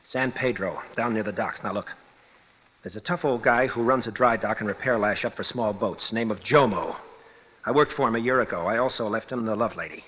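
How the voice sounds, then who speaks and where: An actor playing an earnest young condemned prisoner, intense and pleading, in a radio detective drama. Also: male voice, on the low side, fairly quick, breathy edge. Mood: urgent, pleading. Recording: dull vintage radio recording.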